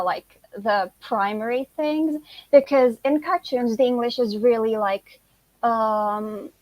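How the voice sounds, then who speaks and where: A woman's voice: on the high side, dry recording, rough recording. A sixteen-year-old girl whose first language is Turkish, answering interview questions casually and filling her speech with "like" and "you know". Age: teens. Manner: chatty, lots of "like" and "you know". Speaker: guest, high school student.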